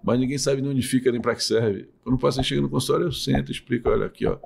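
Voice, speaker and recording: A man's voice: medium pitch, fairly even intonation, racing, creaky, a little mellow, breathy edge. A middle-aged man from Bahia, a urologist and professor explaining things patiently in an interview, often restarting his phrases. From Salvador, Bahia, Brazil.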